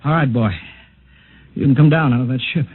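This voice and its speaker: A man's voice: medium pitch, a little fast, heavy background noise, close to an everyday voice. An actor playing a harried, grave man running a rocket base, with an American accent, in 1950s radio drama style. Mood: firm, soothing. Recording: muffled old radio drama recording.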